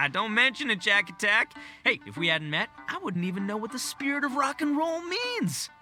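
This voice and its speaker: A man's voice: high voice, sing-song, normal speed, bright, forceful tone, faint music behind, exaggerated voice. A man voicing a brash, boisterous young gang boss in a video game, boastful, slangy and theatrical. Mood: cheerful, cocky. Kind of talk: animated video game voice acting.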